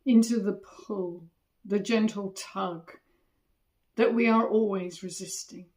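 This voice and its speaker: A woman meditation teacher leading a guided body scan in a gentle, soothing manner, with long pauses between short phrases. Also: mid-range voice, steady tone.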